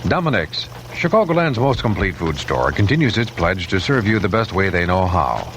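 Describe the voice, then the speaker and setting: A man's voice: low voice, big pitch swings, moderate pace. A man reading scripted radio announcements and advertising copy. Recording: vintage radio, faint noise.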